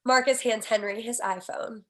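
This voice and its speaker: A woman's voice: on the high side, fairly even intonation, moderate pace, fairly bright tone. A woman reading scripted screenplay stage directions as narrator at a table read.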